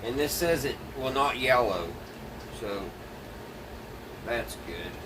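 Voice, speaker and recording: A man's voice: medium pitch, slow pace. A plainspoken, folksy man thinking aloud as he casually narrates hands-on work to viewers. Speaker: DIY vlogger. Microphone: phone camera set away from speaker. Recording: distant mic, noisy with steady background hum.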